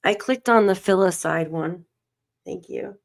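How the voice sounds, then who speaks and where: A woman's voice: lowish voice, measured pace, vocal fry. A tired woman hosting a casual livestream, reading documents aloud and muttering to herself as she searches, coughing and losing her voice. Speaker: livestream host.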